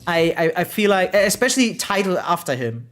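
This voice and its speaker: A streamer with a medium-pitched voice giving casual reaction commentary, reading aloud and chatting in an animated, exclamatory way, often checking in with "right?". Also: moderate pace. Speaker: variety streamer. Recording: music behind the voice. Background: music.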